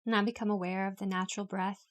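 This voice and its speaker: Female meditation guide, mid pitch, flat and steady intonation, calm unhurried scripted instruction. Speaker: yoga nidra meditation guide.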